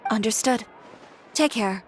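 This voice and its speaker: A woman's voice: fairly high pitch, unhurried, a little hiss or hum, close to an everyday voice. An actress playing a proud, independent young woman in a video game, composed, curt and resolute. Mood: defiant, cool. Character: proud, cool-headed young woman fashion designer, fiercely independent.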